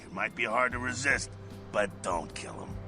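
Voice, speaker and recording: An actor playing a brash, streetwise vampire mentor in a video game, gravelly, slangy and swaggering, with a rough jovial streak. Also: male voice, mid-range voice, moderate pace, close to an everyday voice. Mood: instructive, wry. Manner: slangy, folksy, swaggering, swears. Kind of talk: video game character acting. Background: music.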